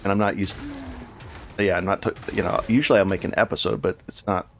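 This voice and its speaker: Gravelly-voiced man streaming a game. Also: low-pitched, steady tone, a little fast, soft background music. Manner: rambling, self-correcting, chatty with viewers. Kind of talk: casual live gameplay commentary.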